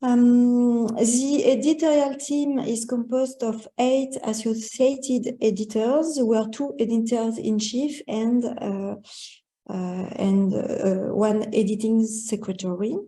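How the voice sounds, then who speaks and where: A woman's voice: on the high side, fairly even intonation, slow, warm tone, echoey room, low-quality recording. A woman from France, presenting a journal in a brief talk on a video call, with frequent fillers.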